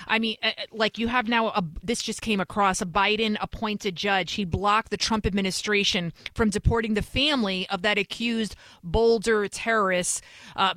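A woman's voice: mid-range voice, a bit bright, dry recording. A woman with a thick Bronx accent hosts a talk program in an emphatic, insistent way, hammering her points by repeating them. The recording is dry and close, with no room sound. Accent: New York (Bronx). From New York City. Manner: emphatic, repeats phrases for effect. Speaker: host. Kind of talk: talk radio commentary.

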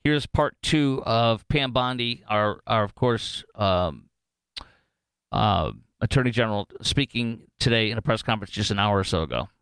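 A man's voice: fairly low pitch, animated, moderate pace, fairly bright tone, clear, resonant tone, dry recording. An American man hosts a talk radio show with a clean, resonant voice in a dry studio sound. He speaks off the cuff, opinionated and joking, leaning hard on his points and drifting into tangents. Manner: opinionated, jokey, digressive, emphatic. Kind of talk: unscripted talk radio monologue with live ad reads.

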